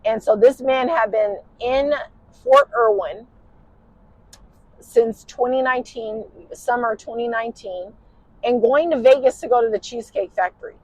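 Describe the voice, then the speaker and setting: A tired woman with a husky, smoky voice tells a personal story in casual chat while driving in a car at night. She rambles and uses lots of "you know" fillers. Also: a little high, normal speed, a little mellow, dry recording, low-quality recording. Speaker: podcast host.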